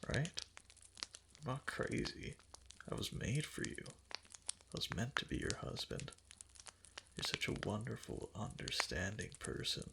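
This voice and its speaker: Guy doing a low crackly fox spirit husband, soft asmr roleplay, obsessively in love, breathy, noisy close recording. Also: animated. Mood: tender, adoring. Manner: tender, halting, cooing endearments. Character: male kitsune fox-spirit husband, doting, obsessively devoted yandere. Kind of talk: intimate roleplay ASMR, soft and partly whispered.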